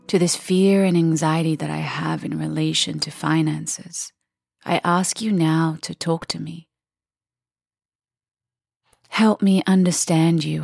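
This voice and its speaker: A woman with a low, husky voice leads a guided meditation, often sinking toward a whisper. Her words come softly and gently, with long pauses and plenty of gravelly fry. The sound is close and very dry. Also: dry recording. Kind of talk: guided meditation, soft and soothing delivery.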